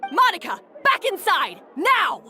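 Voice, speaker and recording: A woman's voice: very high voice, wildly swooping pitch, measured pace, bright, forceful tone, faint music behind, exaggerated voice. A woman playing a haughty, hot-tempered head chef who scolds and barks orders in a video game. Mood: angry, commanding. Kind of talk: dramatic video game voice acting.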